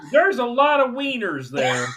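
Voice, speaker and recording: A man's voice: extremely high pitch, big pitch swings, unhurried, a crowd in the background. A middle-aged man hosting a livestream, chatting casually with playful, jokey banter. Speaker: livestream host. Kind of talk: casual chat.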